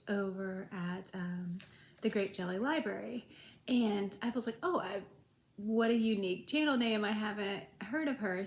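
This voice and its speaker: A young adult woman with a congested voice from a cold, chatting casually about her reading in a rambling way, with many ums. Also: medium pitch. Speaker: booktuber. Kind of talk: casual reading update vlog. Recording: echoey room.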